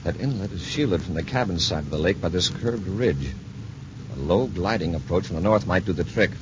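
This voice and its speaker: An actor playing a brisk, decisive space patrol commander with a businesslike, authoritative manner in a 1950s radio drama. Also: male voice, deep voice, expressive. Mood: thoughtful, planning. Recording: noisy, dull 1950s radio recording.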